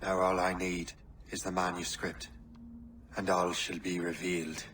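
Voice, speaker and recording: A man's voice: sits low, little pitch movement, a little slow, slight background noise. A man playing a cold, vengeful assassin in a video game. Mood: cold scorn. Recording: distant voice under loud music.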